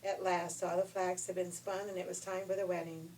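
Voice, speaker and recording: A woman reading a children's story in an expressive, folksy way. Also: lowish voice, fairly quick. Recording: noisy, distant room recording.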